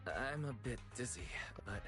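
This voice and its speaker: A man plays an earnest young hero in a video game. He sounds caring and modest at a middling pitch, with music clearly heard behind him. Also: lively intonation, unhurried, natural voice. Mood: earnest, reassuring.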